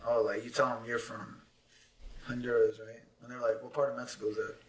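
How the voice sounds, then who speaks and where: A man cracking jokes and chiming in quickly during a casual podcast chat. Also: medium pitch, little pitch movement, recorded from a distance. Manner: joking, quick interjections. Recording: roomy, off-mic.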